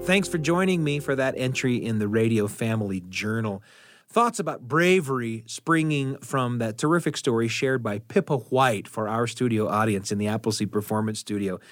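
A man hosting a radio show, narrating in a conversational, folksy storytelling style with dramatic pauses, close on the microphone. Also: medium pitch, animated, no room sound, faint music behind. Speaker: radio host. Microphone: close studio microphone.

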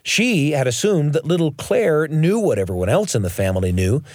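A man hosting and narrating a radio story program in an earnest, deliberate storytelling way. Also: medium pitch, darkish tone, no echo, clean studio sound. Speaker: radio show host and narrator. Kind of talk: scripted narration and studio conversation.

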